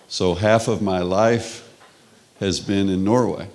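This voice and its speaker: A middle-aged man from Texas with a Texan accent, giving a relaxed, conversational conference lecture with frequent restarts. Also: low voice, slow. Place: conference hall.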